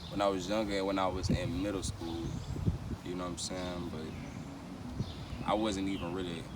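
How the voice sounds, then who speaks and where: A man with a Louisiana accent chatting casually as an interviewed rapper, with heavy slang and frequent swearing. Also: sits low. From Baton Rouge, Louisiana, USA. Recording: far from mic, loud outdoor street noise.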